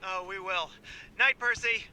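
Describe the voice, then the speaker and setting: High-pitched man acting an ordinary, friendly young guy. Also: steady tone, unhurried, pushed and bright, slight background noise, full character voice. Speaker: writer, director and actor of the audio play. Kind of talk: indie audio drama acting.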